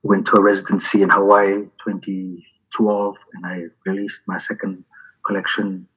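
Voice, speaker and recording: A man from Fiji, talking with many pauses and fillers about his life and poetry in an interview. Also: sits low, monotone, a bit bright, breathy edge. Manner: halting, many fillers and restarts. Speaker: poet. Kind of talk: interview, then poetry reading. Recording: dull lo-fi sound.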